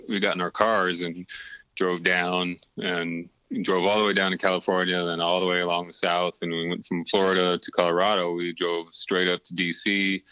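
A man's voice: low voice, bright, forceful tone, clean tone, dry recording, low-quality recording. A middle-aged man, a podcast guest, telling his life story in casual chat, rambling and full of fillers.

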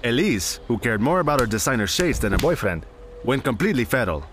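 A man's voice: mid-range voice, sing-song, quick pace, lightly acted. An actor playing a blunt, exasperated male shop owner and wry storyteller in an audio drama. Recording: clear background noise.

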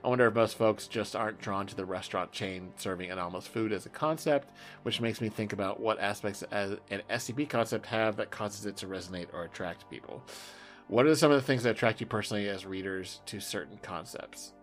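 A man's voice: on the low side, a little fast, faint music behind. A man hosting a podcast in casual, joking chat, with an irreverent, sweary way of talking. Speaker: podcast host.

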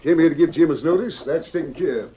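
An actor playing a mild-tempered, placating official in 1940s radio drama style. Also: male voice, fairly high pitch, expressive, fast, close to an everyday voice. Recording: noisy, muffled old radio recording.

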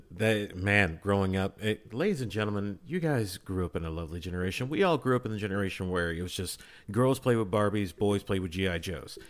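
A man with a low-pitched voice speaks in a casual podcast discussion. He is earnest and emphatic, and his thoughts wander as he goes. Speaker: podcast panelist.

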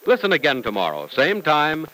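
A man's voice: on the high side, wildly swooping pitch, moderate pace, fairly bright tone, poor audio. A man announcing an old-time radio serial and its sponsor in a polished, emphatic, dramatic style.

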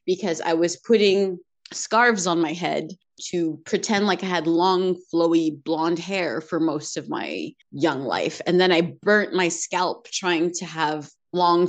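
A woman with a slightly low voice speaks as an interview guest, sharing her own experiences. She talks in a candid, reflective way and laughs easily between her thoughts. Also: gravelly. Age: adult, mother of two daughters. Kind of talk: personal conversational storytelling.

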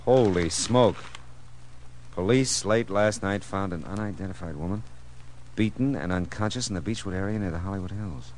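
An actor playing a weary, wry, hard-boiled private detective in a 1940s-style radio drama. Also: male voice, low voice, moderate pace, a little mellow, natural voice. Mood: grim. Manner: wry, dry, world-weary. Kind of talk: 1940s radio drama acting, first-person narration. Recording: noisy vintage radio drama recording.

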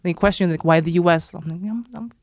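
A woman's voice: fairly low pitch, quick pace, dry recording. Chatty woman in her thirties, close dry sound. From Kazakhstan. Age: 30s. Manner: animated storytelling, quotes people in dialogue, frequent "like". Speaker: guest, social media content creator. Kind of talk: casual conversational interview.

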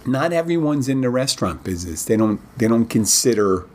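A middle-aged man hosting his bartending podcast in a casual, rambling way, his voice a little rough from a cold he is getting over. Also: mid-range voice, sing-song, darkish tone, a little hiss or hum. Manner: rambling, halting, many restarts. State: recovering from a head cold, slightly rough voice. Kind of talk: casual solo podcast talk.

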